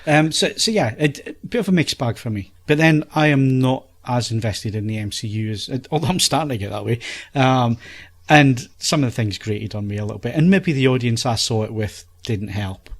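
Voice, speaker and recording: A man's voice: mid-range voice, lively intonation, a little fast. A man reviewing films in a casual podcast chat, thinking aloud in a hesitant way.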